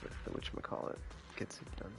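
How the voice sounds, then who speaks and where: A man doing casual gameplay commentary, rambling and thinking aloud in a gravelly voice over game music. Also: fast. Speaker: game streamer. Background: loud video game music.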